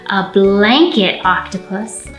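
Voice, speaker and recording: A young American woman, a children's librarian, presenting storytime to small children in an animated, direct way, recorded indoors. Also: medium pitch, slow, faint music behind. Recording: roomy, echoing indoor sound.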